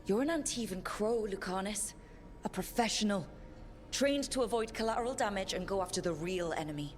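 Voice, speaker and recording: An actress playing a firm, loyal heroine in a video game, speaking earnestly and reassuringly. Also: female voice, mid-range voice, a little fast, lightly acted. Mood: encouraging. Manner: earnest, determined.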